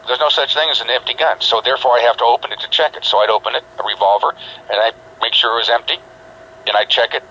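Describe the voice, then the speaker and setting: A man's voice: mid-range voice, bright, forceful tone, slight background noise. A man hosting a talk radio show, lecturing emphatically and repeating his points. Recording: dull shortwave radio reception.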